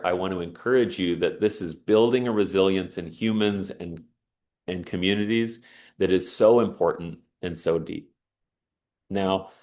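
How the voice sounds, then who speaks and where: Earnest man giving an inspirational talk. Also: lowish voice. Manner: earnest, emphatic, storytelling. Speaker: speaker on sauna and resilience.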